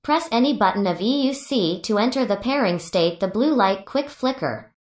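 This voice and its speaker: A woman's voice: medium pitch, animated, normal speed. Woman reading instructions, roomy echo. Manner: clipped step-by-step instructions. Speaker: product tutorial narrator. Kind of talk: scripted instructional narration.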